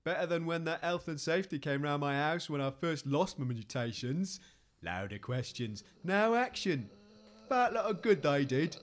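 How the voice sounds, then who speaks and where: A man's voice: on the high side, animated, moderate pace, lightly acted. A chatty rustic villager with a slightly raised voice, played by a man for a comedy audio drama. He rambles cheerfully in folksy grammar and gossips freely. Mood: gossipy.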